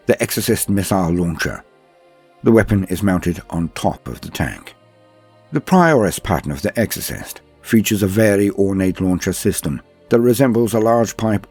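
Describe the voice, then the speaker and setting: A man with a husky voice giving scripted lore narration in a florid, theatrical, formal manner. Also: sits low, darkish tone. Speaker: narrator and host of a lore guide.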